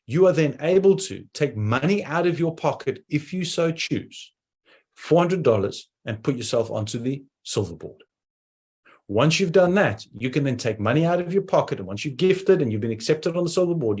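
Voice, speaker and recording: A man with a South African accent giving a persuasive online presentation, talking in quick bursts with sudden slowdowns. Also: mid-range voice, darkish tone, slightly rough.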